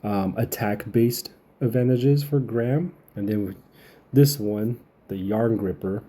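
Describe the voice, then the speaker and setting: A man's voice: fairly low pitch, a little slow, mellow, slightly rough. A man getting over a cold, giving casual, rambling video game update commentary as a channel host.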